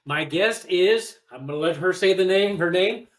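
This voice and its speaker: A podcast host interviewing casually, with halting, hesitant phrasing. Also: mid-range voice. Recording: roomy, echoing sound.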